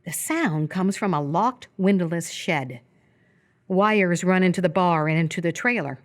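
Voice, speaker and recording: A woman with a slightly low voice narrates a radio play. She reads scripted action as the story's narrator, in present-tense descriptive prose. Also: unhurried. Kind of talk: scripted narration of a screenplay for live radio theatre.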